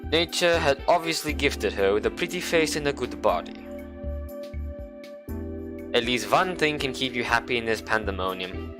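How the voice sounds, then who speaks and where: A young man reading a visual novel aloud, with playful, sarcastic asides and mock-dramatic slowdowns. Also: medium pitch, expressive, over music. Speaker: game streamer.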